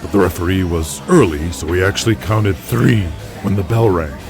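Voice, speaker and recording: A man playing a calm, good-natured veteran pro-wrestler in an audio drama, speaking in a measured, conversational way. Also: deep voice. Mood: frank recounting. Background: music.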